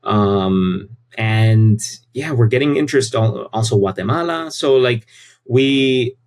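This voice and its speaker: A man's voice: mid-range voice, expressive, slow, darkish tone. A man, talking casually and informally as an interview guest, often repeating phrases for emphasis. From United States. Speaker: interview guest, tech company CEO.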